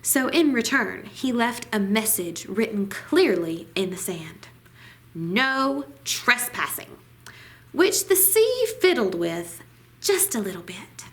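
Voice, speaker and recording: Slow, expressive woman reading a storybook. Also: mid-range voice, slight background noise. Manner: deliberate, dramatic phrasing. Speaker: library storytime reader. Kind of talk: expressive children's picture book reading.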